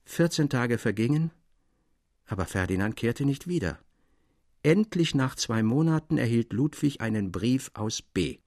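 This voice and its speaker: Casting: a formal, expressive storyteller for audio literature, mid-pitched, measured delivery, dry sound. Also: male voice, no echo. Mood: measured narration. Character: male storyteller Theodor, literary friend reading his tales, defending fragments. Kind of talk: literary audio drama narration.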